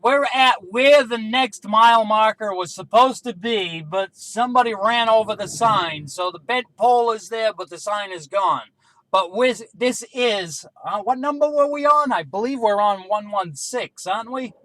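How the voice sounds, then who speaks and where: Male CB hobbyist, high forceful voice, casual radio check-ins, faint vehicle noise behind. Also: normal speed, rough recording. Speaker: CB radio hobbyist running a range test.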